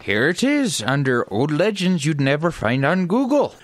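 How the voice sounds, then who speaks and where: Earnest leprechaun council member played by a man, raised animated voice, dry sound, comic radio play. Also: fairly high pitch, moderate pace, dry recording. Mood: eager, earnest.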